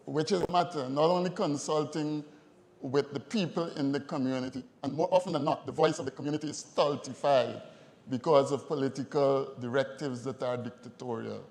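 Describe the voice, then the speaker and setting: A man's voice: mid-range voice, fairly even intonation, a little mellow. Earnest Guyanese man in an echoing hall. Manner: measured, earnest, rhetorical questions. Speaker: audience member commenting. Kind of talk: formal comment from the floor. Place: large conference hall. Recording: reverberant conference hall.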